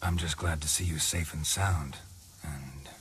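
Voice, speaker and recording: An actor playing a weary, sardonic middle-aged monster hunter in a video game, with a low gravelly voice and deadpan delivery. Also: male voice, a little slow, slight background noise. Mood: quietly serious. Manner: deadpan, dry.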